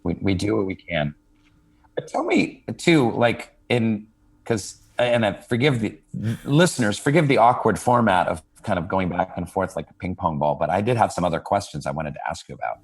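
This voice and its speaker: Man, fifties, very low voice, podcast host, musing, rambling. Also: expressive, moderate pace, vocal fry, breathy edge. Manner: thoughtful, rambling, many fillers and restarts. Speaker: podcast host interviewing a guest. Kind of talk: reflective casual conversation.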